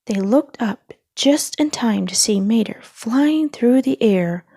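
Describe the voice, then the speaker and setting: A woman narrates a children's storybook in a mellow, relaxed voice. She takes her time over each phrase, and the sound is dry and close. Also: fairly high pitch, slow pace, husky, dry recording. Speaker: storyteller reading children's books aloud.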